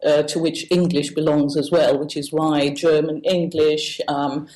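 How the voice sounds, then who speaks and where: A woman with a very low-pitched voice speaks as an expert in an interview. She explains informally and feels her way through her sentences. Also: flat delivery, a little slow, a little mellow, low-quality recording. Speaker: institute deputy director, interviewed expert.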